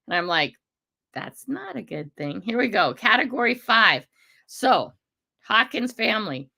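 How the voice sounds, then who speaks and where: An older American woman chats loosely on a livestream, greeting viewers one by one and drifting between topics. Her voice is rough and stuffed up from a cold, yet it stays bright and forward, and it sits fairly low for a woman. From United States. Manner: chatty, rambling, warm greetings to commenters. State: congested, rough voice. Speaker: livestream host.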